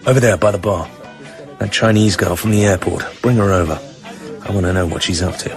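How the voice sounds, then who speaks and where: An actor playing a cool, commanding secret agent in a radio drama. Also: male voice, sits low, steady tone, fairly quick. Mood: suspicious. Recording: radio drama with music behind.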